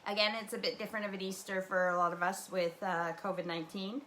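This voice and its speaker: A woman's voice: medium pitch, flat delivery, quick pace, a bit bright, a little hiss or hum. A woman from the prairies, hosting a casual rambling livestream from home and chatting in a meandering way, full of fillers and restarts. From Canadian prairies. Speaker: livestream host.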